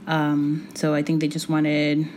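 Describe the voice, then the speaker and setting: A woman in her forties, born in Puerto Rico with Spanish as her first language, talks as a guest in a podcast interview. Her voice sits low, and she pauses often with thoughtful ums and soft laughs. A faint hum of room noise sits behind her. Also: monotone. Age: 40s.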